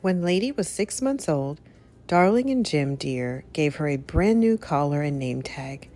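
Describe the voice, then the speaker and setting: A woman reading a children's story aloud as narrator, with an expressive, dramatic delivery. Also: low voice, unhurried, faint music behind.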